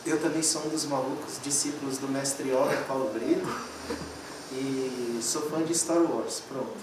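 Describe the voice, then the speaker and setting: A man's voice: mid-range voice, steady tone, normal speed. A Brazilian man, a singer and music teacher, chatting casually in a jokey way. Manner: jokey, laughs readily. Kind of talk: casual chat. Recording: very echoey room, distant, noisy.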